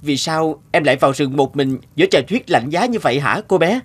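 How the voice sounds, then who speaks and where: A man voicing a kindly, protective young month spirit in a cartoon, gentle and reassuring. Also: a little high, lively intonation, brisk, husky. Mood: concerned. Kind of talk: cartoon voice acting. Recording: background music under the voice.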